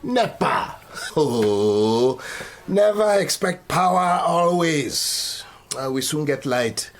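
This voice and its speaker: An actor playing a wily, menacing Nigerian fixer in a radio drama, speaking theatrically with a Nigerian accent and pidgin turns of phrase. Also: male voice, on the high side, expressive, slow pace, a little hiss or hum. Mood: sly. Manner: pidgin English, theatrical, sly and taunting. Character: wily, menacing Nigerian male fixer who acts as the law.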